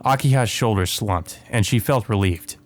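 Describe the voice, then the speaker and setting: A man's voice: on the low side, moderate pace. A man hosting a let's play, reading visual novel narration aloud and adding casual commentary. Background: music behind the voice.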